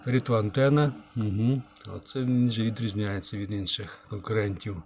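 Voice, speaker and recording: A man giving a casual hands-on review as a channel host, halting and thinking aloud, muttering remarks to himself. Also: fairly low pitch, steady tone, a little mellow, a little hiss or hum. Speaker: channel host, radio repairer.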